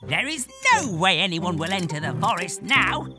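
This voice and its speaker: Blustering grumpy old cartoon forklift, swooping pitch. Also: male voice, very animated, normal speed. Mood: grumbling. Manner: blustering, old-fashioned wording. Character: grumpy older male purple forklift. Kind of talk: children's cartoon voice acting. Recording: loud music behind.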